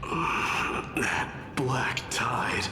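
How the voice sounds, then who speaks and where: Very slow, weary, grave warrior lord. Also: male voice, mid-range voice, lively intonation, background noise. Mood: weary resolve. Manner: grave, halting, breathy delivery. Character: weary, stoic, undying warrior lord bound by duty. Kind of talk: dramatic fantasy game acting. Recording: echoey, music behind.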